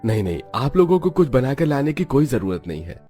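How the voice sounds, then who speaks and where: A man acts out a haughty neighbourhood man from a cartoon drama. The voice is pushed up high and loud, scornful and belittling toward poorer households. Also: sing-song, a little fast, close to an everyday voice.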